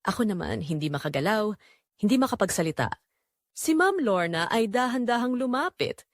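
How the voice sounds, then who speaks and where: A woman's voice: medium pitch, big pitch swings, moderate pace, no room sound, studio quality. A woman narrating a dramatic first-person story as a scripted audio drama.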